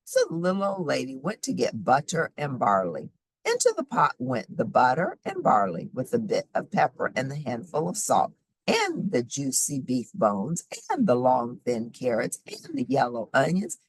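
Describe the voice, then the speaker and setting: A woman narrates a children's storybook with hugely animated pitch and a husky tone. The sound is close and dry, and she leans deliberately on repeated phrases. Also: dry recording, rough recording. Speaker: storybook reader.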